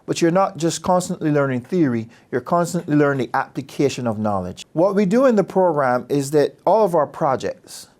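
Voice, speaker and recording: Guy, dean, earnest and persuasive, voice sits a bit high. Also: expressive, brisk, few pauses, a little mellow. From Barbados. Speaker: business school dean. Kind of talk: promotional interview talk.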